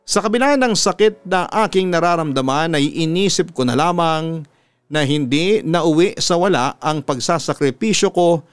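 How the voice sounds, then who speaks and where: A Filipino man narrating a sentimental letter as a story host, in an earnest, measured announcer's delivery. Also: fairly high pitch, clean tone, no echo. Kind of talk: dramatic reading of a listener's letter, sentimental narration. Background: music under the voice.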